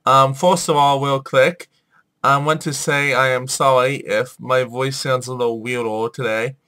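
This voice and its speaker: A man's voice: medium pitch, fairly even intonation, unhurried, bright, forceful tone. A man hosting a casual explainer video while he has a head cold and a sore throat, talking in an informal, apologetic way. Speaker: video host.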